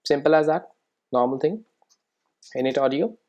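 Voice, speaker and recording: Software tutorial presenter, medium pitch, informal, step-by-step explanation, frequent check-ins. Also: normal speed. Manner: explanatory, often checking in with "right?" and "okay".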